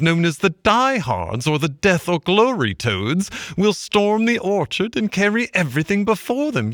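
A man voicing an excitable, boastful male mole who mimics a fussy old washerwoman in a high, sing-song storybook voice. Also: no room sound, clean studio sound. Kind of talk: audiobook reading.

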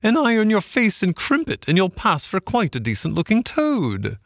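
A man's voice: sits high, huge pitch swings, normal speed, creaky, fairly bright tone, dry recording, clean studio sound, pitched above the performer's own voice, heavily acted. A man voicing a brash, mocking, chatty barge woman in a storybook reading. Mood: jeering. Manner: chatty, bossy, exclamatory. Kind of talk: audiobook character acting.

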